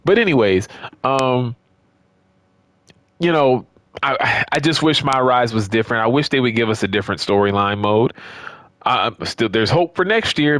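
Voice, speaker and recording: A man's voice: medium pitch, a bit bright, dry recording. A man giving casual, unscripted gaming commentary as a video creator, talking in a rambling, conversational, opinionated way.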